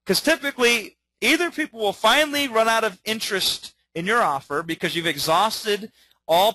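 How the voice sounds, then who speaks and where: A man teaching a webinar in an energetic way, often checking in with okay and all right. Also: high voice, no room sound, rough recording. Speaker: webinar trainer.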